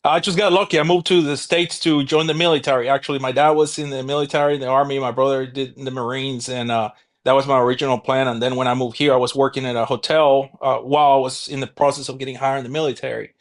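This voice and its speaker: Earnest man from Guatemala, forties. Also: mid-range voice. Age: 40s. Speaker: fire battalion chief. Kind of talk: casual interview.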